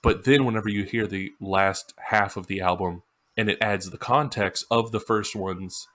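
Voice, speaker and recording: A man's voice: fairly low pitch, fairly even intonation, normal speed, fairly bright tone. A man co-hosting a podcast, discussing music in an informal, emphatic, casual way. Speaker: podcast co-host.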